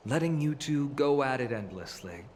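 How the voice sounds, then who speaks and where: Man playing a cool, dry, mid-pitched pragmatist. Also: animated, normal speed, slight background noise, natural voice. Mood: cool, detached. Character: cool, efficiency-minded man of few words. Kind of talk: scripted game voice acting.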